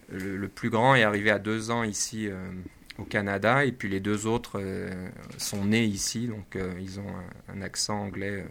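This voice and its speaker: A man with a French accent talks over a tech podcast in an easy, rambling way. He fills his pauses and restarts his phrases often. His voice sits a bit low but has a bright, forceful edge. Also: a little hiss or hum. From France. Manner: chatty, many fillers and restarts. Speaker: podcast co-host. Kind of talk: casual tech chat.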